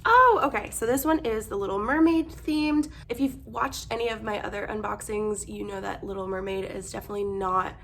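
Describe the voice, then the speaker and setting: Hoarse young woman gushing over an unboxing. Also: mid-range voice, fairly quick, few pauses, slight background noise. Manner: bubbly, gushing excitement. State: losing her voice, hoarse. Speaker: vlogger. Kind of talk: casual unboxing chat.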